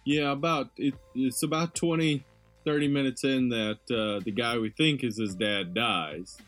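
A man with a loud voice co-hosting a podcast, chatting about a movie in an easygoing way. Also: medium pitch, unhurried, soft background music. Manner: easygoing, agreeable, frequent laughs and "yeah"s. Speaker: podcast co-host. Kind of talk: casual podcast chat.

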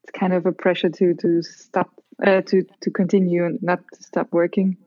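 Casting: Austrian woman artist, lowish voice, casual interview chat, dry and slightly muffled recording. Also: level tone, normal speed, darkish tone, dry recording. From Carinthia, Austria. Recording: dull lo-fi sound.

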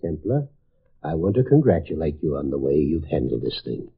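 A man's voice: a bass voice, big pitch swings, moderate pace, gravelly, exaggerated voice. An American man playing a pompous, sententious college dean, smug and self-deceiving, in a 1950s radio drama. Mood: smug, evasive. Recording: tinny vintage radio recording.

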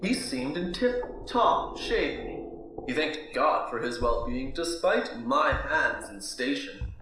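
An actor playing a smug, controlling physician husband in a radio drama, speaking in a patronizing, formal, pompous way. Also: male voice, medium pitch, very animated, slow pace, bright, forceful tone, full character voice. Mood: smug, scornful. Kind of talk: theatrical radio drama, period diction. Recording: very echoey room, faint noise, clicks.

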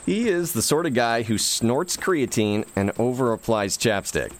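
A man's voice: mid-range voice, animated, measured pace, slight background noise, lightly acted. A man narrating a sci-fi comedy audio drama as a wry, opinionated, conspiratorial storyteller. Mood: sardonic. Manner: sardonic, conspiratorial.

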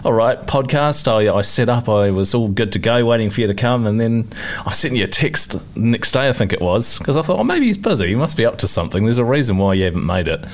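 A man's voice: medium pitch, sing-song, fast talker. A young New Zealand man co-hosting a casual chat podcast, talking loosely, laughing often and restarting his words. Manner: chatty, laughs often, repeats words when starting. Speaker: podcast co-host. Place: apartment with street traffic.

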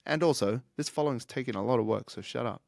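A man's voice: mid-range voice, quick pace, vocal fry, darkish tone, no room sound. Earnest thirty-something man, dry close sound. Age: 30s. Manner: earnest, reflective, with frequent restarts. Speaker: podcast host, fitness coach. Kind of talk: casual monologue.

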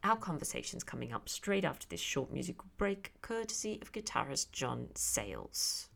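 A woman's voice: a bass voice, sing-song, normal speed, vocal fry. A woman hosting a podcast, presenting introductions and interview questions. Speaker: podcast host. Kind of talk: scripted podcast narration and interviewing.

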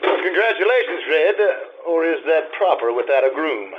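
A man playing a teasing, wry gentleman ex-husband in an old-time radio play. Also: on the high side, expressive, moderate pace, narrow, tinny audio, background noise, lightly acted. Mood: wry, fond.

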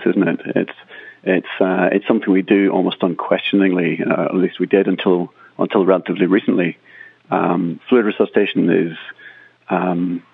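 A middle-aged man, a critical care consultant speaking in a measured way and repeating words as he thinks, in a remote podcast interview. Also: low-pitched, vocal fry, slightly rough, dry recording. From Northern Ireland. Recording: tinny phone-like line, dry.

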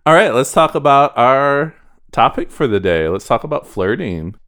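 A man's voice: medium pitch, very animated, moderate pace, a bit bright, clean tone. A man hosting a podcast in a conversational presenting style. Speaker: podcast host.

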